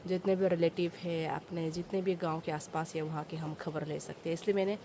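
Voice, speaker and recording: A woman's voice: low-pitched, fairly even intonation, fairly quick, noisy background. A young woman with a Northeast Indian accent chatting casually to viewers, explaining village life in a simple way. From Arunachal Pradesh, India. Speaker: village vlogger. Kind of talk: casual chatty vlog narration.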